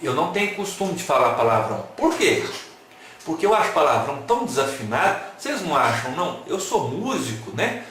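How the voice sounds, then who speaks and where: A man's voice: on the high side, fairly quick, a little hiss or hum. Guy lecturing, joking around, asks the crowd stuff, lots of echo. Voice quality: animated, playful, asks the audience questions. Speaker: lecturer at an online talk. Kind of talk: lively lecture with jokes and rhetorical questions. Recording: very echoey room.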